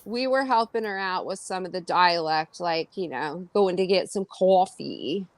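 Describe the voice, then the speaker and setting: A woman's voice: fairly low pitch, measured pace, no room sound. A woman co-hosting a casual livestream chat, greeting viewers as "honey" and keeping the talk light. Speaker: co-host.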